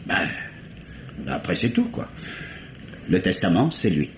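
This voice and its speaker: A man's voice: sits low, big pitch swings, takes it slowly, exaggerated voice. An actor playing an eager, deferential police assistant who reports pointedly to his superior in an old radio mystery. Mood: eager, reporting. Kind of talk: 1950s French radio mystery acting. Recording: dull, noisy vintage radio recording.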